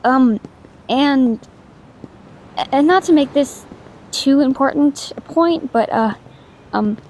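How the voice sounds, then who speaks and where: A woman's voice: high-pitched, takes it slowly, slight background noise, rough recording. A woman voicing a shy, awkward young female ghost in an intimate audio drama, stammering, hesitant and apologetic, anxious but kind. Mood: tentative. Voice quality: soft stammer. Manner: hesitant, apologetic, self-doubting, rambling.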